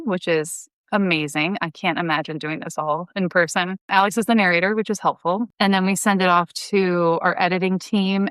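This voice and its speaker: A woman's voice: fairly low pitch, a bit bright, clean tone, no room sound. A woman in her thirties speaking enthusiastically and emphatically as an interview guest, in a conversational way. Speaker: podcast guest, audio drama writer.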